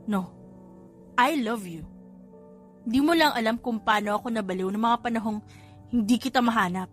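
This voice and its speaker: A woman's voice: a little high, a bit bright. Audiobook role: jealous, possessive male crime boss, Filipino accent, performed by a female narrator, music bed.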